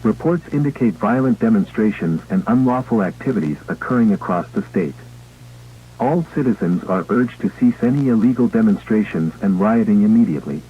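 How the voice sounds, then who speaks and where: A man playing an official emergency broadcast announcer, reading a scripted alert in a formal, measured, impersonal way through a radio filter. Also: lowish voice, animated, a little hiss or hum. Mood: grave, official. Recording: tinny, band-limited emergency radio broadcast.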